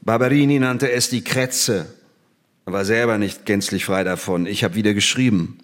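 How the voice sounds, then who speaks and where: An actor playing an old, half-blind captive scientist in a stage drama, speaking in deliberate, ironic, measured phrases. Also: male voice, lowish voice, lightly acted. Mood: guarded, wry.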